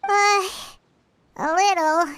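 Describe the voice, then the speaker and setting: A woman voicing a small, childlike fairy companion in a video game, squeaky, earnest and excitable, speaking of herself in the third person. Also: very slow, no room sound, full character voice. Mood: nervous.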